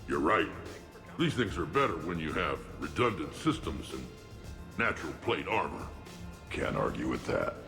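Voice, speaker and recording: Huge combative game alien: cavernous, gravelly bass, gruff and boisterous, music behind. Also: male voice, sing-song, moderate pace, heavily acted. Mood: gruff amusement. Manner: blunt, boastful, gruff. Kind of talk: video game character acting.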